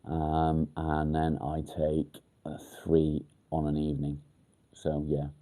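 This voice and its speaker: A low-voiced man chats bluntly with his live viewers, going straight to the point. His tone is deeply mellow and dark, and a blocked nose makes him sound nasal and stuffy. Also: monotone, slow pace. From England. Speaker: livestream host. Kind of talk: casual live chat answering viewer comments.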